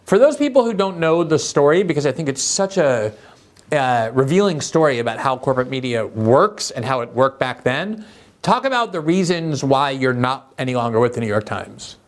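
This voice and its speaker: A male host speaks with eager enthusiasm, building long, effusive setups before each question. His voice sits in a middle register and he delivers in an animated conversational way. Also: mid-range voice, moderate pace. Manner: enthusiastic, effusive, talks at length before asking. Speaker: interview host. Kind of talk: conversational interview.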